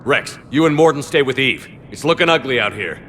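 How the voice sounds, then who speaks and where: An actor playing a resolute human military commander in a video game, direct and businesslike. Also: male voice, mid-range voice, lively intonation, a little fast, fairly bright tone, a little hiss or hum, close to an everyday voice. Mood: urgent, firm.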